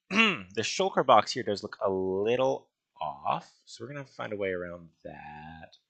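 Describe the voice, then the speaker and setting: Gravelly low-voiced man thinking aloud. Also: fairly bright tone, dry recording. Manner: hesitant, musing, talks to himself. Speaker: gaming video host. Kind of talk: casual Minecraft building commentary, thinking aloud.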